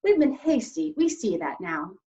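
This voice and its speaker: A woman voicing a brash male policeman in a storybook, with a loud, blunt, emphatic manner. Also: medium pitch, big pitch swings, normal speed, dull audio, close to an everyday voice. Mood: apologetic.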